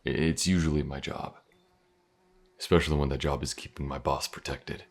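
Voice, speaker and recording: A man playing a gruff, guarded but protective mercenary in a fantasy audio roleplay, halting and dry in his delivery. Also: steady tone, a little mellow. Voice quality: very deep gravelly husky.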